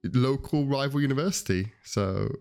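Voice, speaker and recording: A man's voice: medium pitch, moderate pace, vocal fry, a little mellow, clean tone. Easygoing young man from Poland. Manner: easygoing, rambling with restarts. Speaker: podcast co-host. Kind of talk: casual podcast chat.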